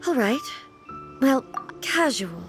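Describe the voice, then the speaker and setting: Regal goddess-ruler in a game: slow, measured, lilting, soft music behind. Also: female voice, high voice, heavily acted. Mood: bemused. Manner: calm, measured, thoughtful. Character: composed, regal goddess-ruler, curious and earnest. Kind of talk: video game dialogue.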